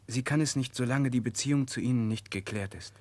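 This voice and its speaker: A man's voice: mid-range voice, brisk, darkish tone, dry recording, natural voice. A man playing a principled, protective political exile in a 1960s German radio drama, speaking formally and directly. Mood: resolute.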